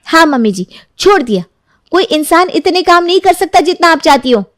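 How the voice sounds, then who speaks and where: A woman's voice: extremely high pitch, lively intonation, moderate pace, a bit bright, no room sound, clean studio sound. A woman voicing an outspoken young daughter-in-law in a cartoon, talking boldly. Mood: defiant. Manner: bold, defiant. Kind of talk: Hindi cartoon dubbing.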